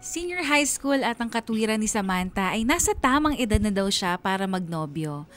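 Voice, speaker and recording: A woman's voice: medium pitch, very animated, normal speed, fairly bright tone, soft background music. An actress playing a weary, earnest middle-aged single mother who narrates her life in a radio drama, with a Filipino accent. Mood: worried, reflective. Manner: reflective, earnest.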